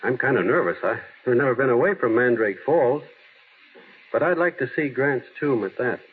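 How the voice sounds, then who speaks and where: A man's voice: medium pitch, lively intonation, moderate pace, husky. An actor playing a naive, earnest small-town man in an old-time radio drama, folksy and artless. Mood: mild, earnest. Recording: tinny, noisy vintage radio broadcast.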